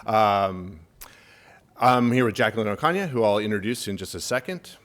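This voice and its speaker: A middle-aged man with a fairly low voice presents at a community workshop in a church hall, speaking into a microphone. He is chatty and self-deprecating, with plenty of fillers and light jokes. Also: lively intonation, normal speed, fairly bright tone. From Northern California. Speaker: estate planning lawyer, panelist. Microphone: handheld microphone.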